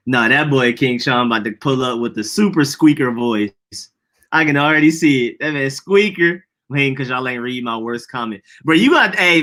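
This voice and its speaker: A man's voice: mid-range voice, sharp tone. A young man co-hosting a podcast in casual, joking chat, laughing often. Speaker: podcast co-host.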